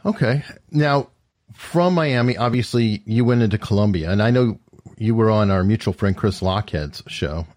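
A man's voice: lowish voice, moderate pace, darkish tone, no room sound, clean studio sound. A man from Arizona hosting an interview, asking questions hesitantly with restarts and light laughs. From Tucson, Arizona, USA. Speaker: podcast host.

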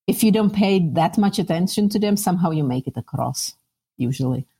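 A woman's voice: fairly low pitch, lively intonation, fairly quick, vocal fry, warm tone, slightly rough. A middle-aged woman from the Czech Republic with a Czech accent, sharing personal recollections conversationally as an interview guest.

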